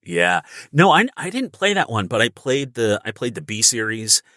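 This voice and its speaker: A middle-aged man, an actor appearing as a podcast guest, telling casual anecdotes with emphatic, animated phrasing. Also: medium pitch, moderate pace, dry recording, clean studio sound.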